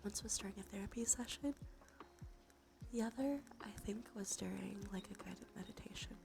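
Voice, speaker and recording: A woman doing gentle, unhurried ASMR in a breathy whisper and soft murmur, her nose slightly stuffy. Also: mid-range voice. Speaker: ASMR streamer, host. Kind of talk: ASMR, soft intimate role play and rambling. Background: soft music.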